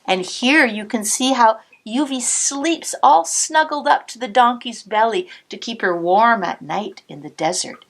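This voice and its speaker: A woman cantor reading a picture book aloud to children, expressive, pausing to point out the pictures. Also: medium pitch. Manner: warm, expressive storytelling with asides to the listener.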